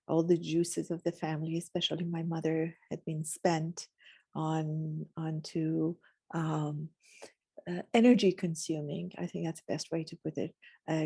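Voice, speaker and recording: A woman from Iran, telling her story reflectively in a measured, philosophical way as a guest. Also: deep voice, dark tone, no echo. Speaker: podcast guest.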